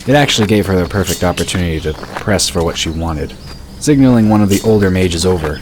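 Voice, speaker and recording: A male narrator with a very deep voice tells the story in a steady, even way. The reading is scripted and calm. A layer of noise sits under the voice. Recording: noisy home recording.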